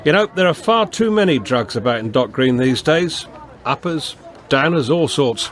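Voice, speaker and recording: An older man playing a firm but kindly beat policeman in a radio drama, plain-spoken and gently authoritative. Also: mid-range voice, lively intonation, moderate pace, lightly acted. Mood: stern. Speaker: police constable and narrator. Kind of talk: naturalistic radio drama acting and storytelling.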